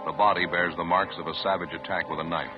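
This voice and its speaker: A man's voice: low voice, fairly even intonation, fast talker, over music. An American man announcing in the formal, emphatic scripted style of 1950s radio. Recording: dull old radio recording.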